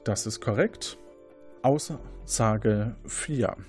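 A man's voice: lowish voice, drawn-out words, a little mellow, husky, soft background music. A man hosting an audio quiz game, presenting statements and questions to contestants. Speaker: quiz show host.

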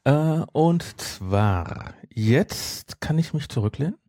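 Flippant man, mellow and animated. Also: a little high, slow pace, no room sound, clean studio sound. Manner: flippant, jokey. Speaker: podcast co-host. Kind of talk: casual banter.

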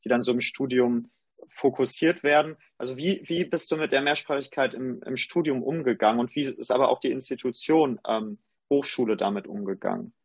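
A man's voice: medium pitch, a little fast, husky, no echo. A man interviewing, asking long, rambling questions full of fillers. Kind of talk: conversational interview.

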